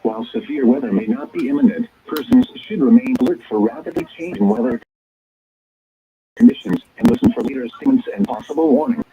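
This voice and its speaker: Synthetic man's voice, weather radio alert reading, wildly swinging intonation, gravelly creak, stilted formal phrasing, radio broadcast sound. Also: sits high, wildly swooping pitch, brisk, crowd noise. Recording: tinny, narrow-band weather radio signal.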